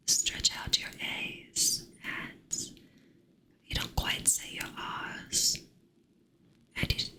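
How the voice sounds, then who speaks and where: A woman whispering softly in a playful way as she chats with her live-stream audience. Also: rough recording. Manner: soft whisper, playful with chat; slow, drawn-out delivery. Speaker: ASMR streamer.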